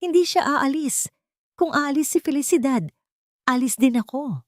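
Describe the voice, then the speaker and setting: Woman playing a high, stubborn little boy. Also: animated, moderate pace, dry recording, studio quality. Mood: defiant. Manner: stubborn, insistent. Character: defiant, loyal little boy.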